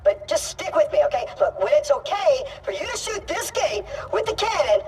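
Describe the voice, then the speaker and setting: A man's voice: very high-pitched, big pitch swings, moderate pace, recorded from a distance, very noisy. A man voicing a snarky, annoying little video game robot with a processed voice, rambling bossily. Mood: bossy, rambling. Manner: chatty, rambling, bossy. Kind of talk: video game character acting. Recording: loud music behind the voice.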